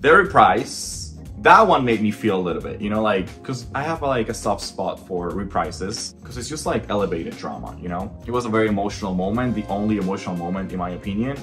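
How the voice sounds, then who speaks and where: A man from the Dominican Republic whose first language is Spanish speaks in a low voice. He reviews films in a casual, emphatic, opinionated vlog style, with faint guitar music behind him. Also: lively intonation, a little fast, few pauses, a bit bright. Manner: emphatic, conversational. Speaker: animator and film reviewer.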